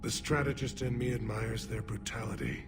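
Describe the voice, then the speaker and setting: A man performs a solemn alien commander from a video game, low in pitch and formal in his phrasing. He sounds weary yet resolute, every sentence measured, with prominent music underneath. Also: natural voice. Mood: grim, sorrowful. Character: grave alien Turian military leader, weary but resolute. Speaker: military leader. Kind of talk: dramatic video game acting.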